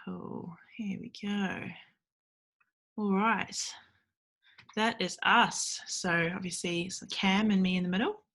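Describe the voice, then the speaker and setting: A young woman with a New Zealand accent giving a relaxed, chatty recorded talk to a church group from home, with frequent ums. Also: mid-range voice, slow pace, pauses often. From Fiji. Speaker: guest speaker at a ministry meeting.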